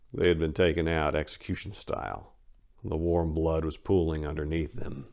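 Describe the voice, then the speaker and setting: A man with a low voice narrates crime fiction. He reads from a script in a dramatic style, as the storyteller. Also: darkish tone.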